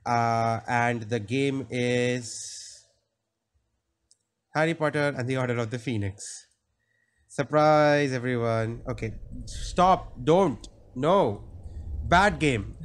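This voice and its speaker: A man's voice: mid-range voice, slow, pauses often. Young man with a sore throat, chatting. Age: young adult. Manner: chatty, joking, wry asides. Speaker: gameplay streamer. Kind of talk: casual let's-play commentary. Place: small cluttered room indoors. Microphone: studio mic on arm, headphones. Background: game music.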